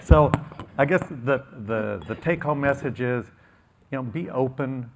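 A man's voice: fairly low pitch, vocal fry. A man who grows crops speaking on a conference panel, folksy and hesitant with many fillers. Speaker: grower panelist.

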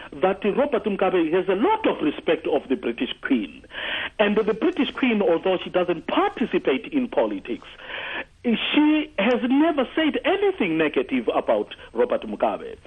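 A man's voice: high-pitched, wildly swooping pitch, moderate pace, breathy edge. A man from Zimbabwe calling into a radio talk show, arguing earnestly and stumbling through many fillers and restarts. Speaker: caller. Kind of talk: call-in radio commentary. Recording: tinny phone line on radio.

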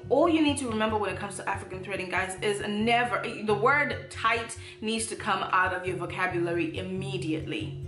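A woman's voice: medium pitch, a little fast, a bit bright, reverberant, faint music behind. A young woman, giving a casual, upbeat hair tutorial in her bedroom, with playful asides. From Zimbabwe. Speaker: natural hair vlogger. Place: bedroom, indoors.

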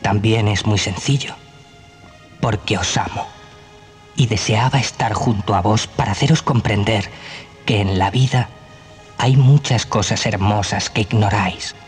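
Man acting an earnest, low-voiced young prince. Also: unhurried, pauses often, close to an everyday voice. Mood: tender, earnest. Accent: Castilian Spanish. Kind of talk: children's storybook dramatization. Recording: 1980s cassette, music behind.

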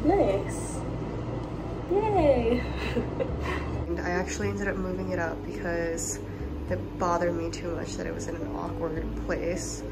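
A woman's voice: mid-range voice, far from the mic, heavy background noise. A young woman from Hawaii with a stuffy, congested voice, chatting casually about her day at home and laughing at herself. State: congested from a cold. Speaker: vlogger. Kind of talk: casual vlog chat. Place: small apartment kitchen and living room, indoors.

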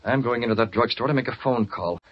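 An American actor playing a decisive, commanding masked vigilante in an old radio adventure serial. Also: male voice, quick pace, close to an everyday voice. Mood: resolute. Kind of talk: 1930s-40s radio acting. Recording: noisy muffled vintage radio, car rumble.

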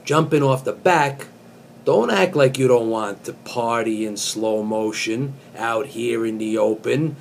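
Slow-talking middle-aged man, mock-serious. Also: mid-range voice, gravelly, a little hiss or hum. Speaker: comic host reading song lyrics as poetry. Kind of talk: dramatic spoken recitation of pop lyrics with casual asides. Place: small indoor room.

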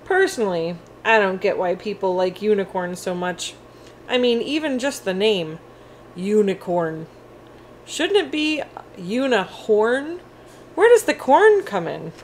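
A quirky little octopus-unicorn, read aloud by a woman with very swoopy, animated pitch. The storybook creature is friendly and a touch lonely, and there is clear room noise behind. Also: unhurried, natural voice. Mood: chatty, quirky. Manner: animated, childlike storybook delivery. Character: friendly, quirky, slightly lonely young octopus-unicorn picture-book character.

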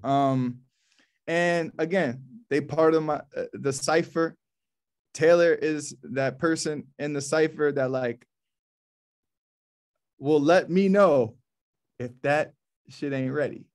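An American man in his thirties hosting a poetry reading in a casual, colloquial way, often halting and repeating words. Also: a little high. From New Orleans, USA. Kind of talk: casual, informal event hosting. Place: small office, indoors. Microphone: laptop mic on a video call.

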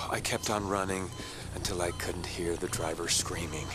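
An actor playing a fearful male survivor in a video game, speaking in a halting, haunted manner. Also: low voice, fairly even intonation, rough recording. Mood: shaken, guilty. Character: shaken, fearful male survivor confessing and explaining. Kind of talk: dramatic video game monologue. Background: loud music.

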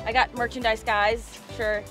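A woman's voice: a little high, flat delivery, moderate pace, music in the background. A young woman hosting a fishing vlog, coaching and cheering in an upbeat way, recorded outdoors on an open boat and at a dock. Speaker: fishing channel host. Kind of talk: excited fishing vlog chat. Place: open boat at sea, then a marina dock.

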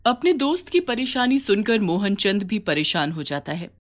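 A woman's voice: medium pitch, very animated, moderate pace. A woman reading scripted story narration as the narrator of a tale.

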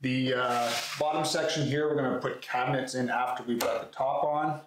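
A man's voice: mid-range voice, steady tone, normal speed. A man in his thirties casually and matter-of-factly explaining a hands-on build to camera, picked up a few metres from the mic in a workshop. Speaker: woodworking video host. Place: concrete-floored garage workshop, indoors. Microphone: camera mic a few metres away. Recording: echoey garage, faint background noise.

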